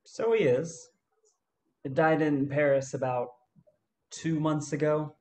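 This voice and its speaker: A man acts out an easygoing fortune hunter in a radio play. His voice sits a little high for a man, and he spins his tale very slowly, with halting pauses. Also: fairly even intonation, darkish tone. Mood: amused storytelling. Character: easygoing male gold-hunting adventurer telling a tale.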